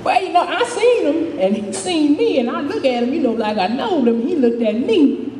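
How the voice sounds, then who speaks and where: Woman acting a high, swooping folksy street woman. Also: expressive, normal speed, mellow, recorded from a distance. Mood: reflective, folksy. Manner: folksy, rambling, chuckling, repetitive, dramatic. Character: feisty, slightly crazy older street woman, storytelling, toothless. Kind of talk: live dramatic monologue, folksy storytelling. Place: live stage in a reverberant room. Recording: echoey hall from afar, audience noise.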